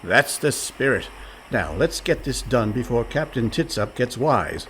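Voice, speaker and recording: A man's voice: medium pitch, lively intonation, unhurried, fairly bright tone, a little hiss or hum. An actor playing a cheerful, scheming and overconfident con man in a comic radio play, breezy and persuasive.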